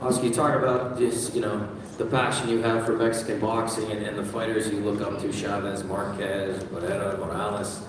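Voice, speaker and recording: A man's voice: lowish voice, level tone, brisk, noisy background. Man moderating in an echoey hall. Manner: hyped announcer build-up at the close. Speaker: press conference host. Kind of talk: moderating a press conference. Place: large event room. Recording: echoey hall picked up from far off.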